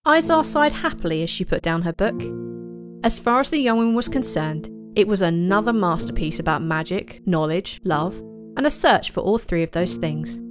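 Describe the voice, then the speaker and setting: A woman narrator reading a scripted story. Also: fairly low pitch.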